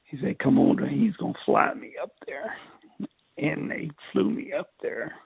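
An older man from Texas with a raspy, strained voice, telling his life story in an interview in a halting way, repeating words. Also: a little high, very animated, no room sound. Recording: tinny phone line.

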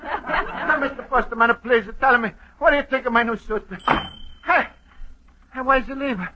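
An actor playing a naive, excitable Italian immigrant who speaks broken English with a heavy Italian accent, stammering in an old-time radio comedy. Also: male voice, very high voice, moderate pace, muffled recording, slight background noise. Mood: dismayed.

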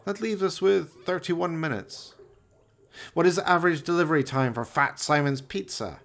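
Grandiose, high-strung mad scientist. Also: male voice, normal speed, gravelly, fairly bright tone. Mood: gleeful, excited. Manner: theatrical, pompous, exclamatory. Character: evil, excitable mad scientist doctor, grandiose and fawning. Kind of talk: radio play villain acting.

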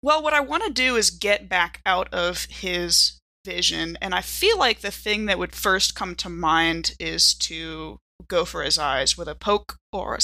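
A woman's voice: lowish voice, vocal fry, bright, forceful tone, no room sound. A woman playing a tabletop roleplaying game, chatting casually and thinking aloud in an informal way.

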